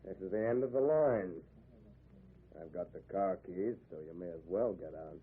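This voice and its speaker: A man's voice: deep voice, moderate pace. Tinny old radio laconic, menacing man. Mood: quietly threatening. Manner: terse, evasive, understated menace. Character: menacingly calm male hitchhiker driver, laconic and secretive. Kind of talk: old-time radio thriller acting. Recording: tinny, noisy old radio broadcast recording.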